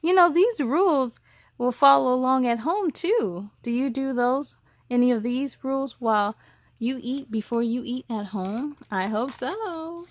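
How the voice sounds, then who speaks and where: A woman reading a picture book aloud as a story time host, expressive, with chatty asides to young listeners. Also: sits high, no echo, studio quality.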